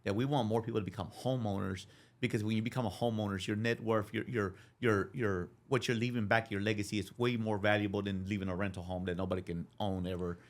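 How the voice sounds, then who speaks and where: A middle-aged man from Dallas, a podcast guest talking casually, with lots of restarts. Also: on the low side. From Dallas, Texas. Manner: fast, repetitive restarts, animated. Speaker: interview guest. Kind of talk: casual conversation.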